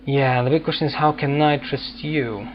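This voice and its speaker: A man's voice: mid-range voice, steady tone, normal speed, muffled recording, a little hiss or hum. A man giving casual let's play commentary, musing and hesitantly thinking aloud. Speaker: gaming video host.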